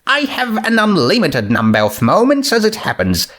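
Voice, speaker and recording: A man's voice: high voice, huge pitch swings, normal speed, a bit bright, pitched above the performer's own voice, full character voice. A man voicing a bookish, awkward young female pony who speaks in an earnest, wordy way in a story reading. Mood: polite. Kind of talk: fan fiction character reading.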